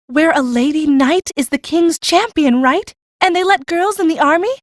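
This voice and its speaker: A woman playing a high-voiced, earnest country girl. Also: moderate pace, no echo, clean studio sound, lightly acted. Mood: hopeful, eager. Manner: rustic, frank, earnest. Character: eager, plain-spoken orphaned country girl seeking work. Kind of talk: full-cast audiobook acting.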